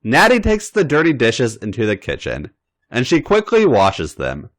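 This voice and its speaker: Man reading with sweeping, animated pitch. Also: normal speed, slight background noise. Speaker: podcast host and story reader. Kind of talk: scripted narration.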